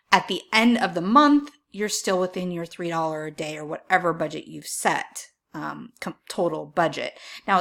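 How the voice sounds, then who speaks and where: A woman with a slightly low, bright and forward voice talks viewers through practical advice for online sellers. She explains things informally but carefully, in measured phrases, leaning on key words for emphasis. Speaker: online seller giving marketing tips.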